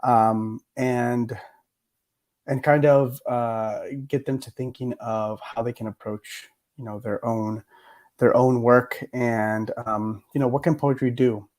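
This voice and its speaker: Male lecturer, mid pitch, mellow and slightly worn voice, hesitant reflective delivery. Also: fairly even intonation, slow pace. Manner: hesitant, many fillers and restarts. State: recovering from a cough, voice a bit worn. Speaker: poet laureate giving a lecture. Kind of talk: reflective mini lecture on poetry.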